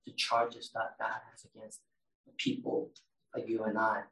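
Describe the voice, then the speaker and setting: A man's voice: on the low side, monotone, moderate pace, vocal fry, fairly bright tone. A man preaching a sermon in a conversational way, asking his congregation questions as he goes. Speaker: pastor. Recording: roomy echo, mic at a distance.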